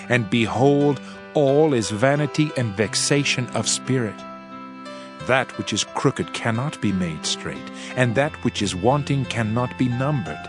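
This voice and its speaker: A man plays a weary old-world king turned preacher, reciting scripture in a solemn, measured, oratorical way. His voice sits at a medium pitch. Music plays clearly behind him throughout the dramatized audio reading. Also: lightly acted. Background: background music.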